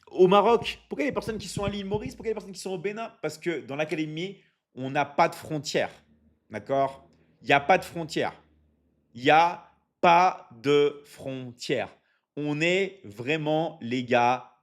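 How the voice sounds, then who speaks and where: A man from France coaching and selling on a live stream, energetic and repetitive, with lots of fillers and frequent check-ins to his viewers. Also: fairly high pitch. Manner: energetic, repetitive, drops many fillers and asks "ok? d'accord?". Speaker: online academy coach hosting a live stream. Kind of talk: live stream sales pitch reading chat.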